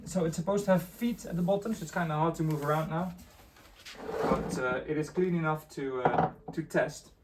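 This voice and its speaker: A man's voice: a little high, normal speed, noisy background. A man giving a casual, unscripted hands-on demonstration, muttering to himself as he works. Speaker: host of a tape deck repair channel.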